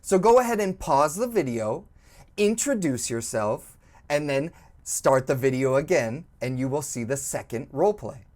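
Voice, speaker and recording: A woman teaching an English lesson, speaking slowly and clearly, encouraging and prompting the learner to repeat. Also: very deep voice, lively intonation, darkish tone. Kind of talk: slow, clearly spoken language lesson.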